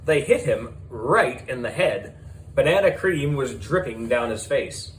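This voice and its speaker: A man narrating a children's audiobook in an excitable, playful storytelling manner. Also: medium pitch, measured pace, slight background noise, rough recording.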